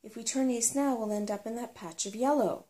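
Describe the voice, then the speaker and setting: A woman's voice: fairly high pitch, brisk, warm tone, natural voice. A woman reading the part of an earnest, anxious young boy in a storybook adventure. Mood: worried. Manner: earnest, insistent.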